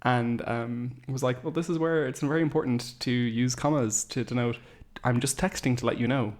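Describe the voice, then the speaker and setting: A young man, chatting on a podcast. He tells stories animatedly and throws in quick asides. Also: mid-range voice, steady tone. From Ireland. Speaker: podcast co-host. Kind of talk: casual chat.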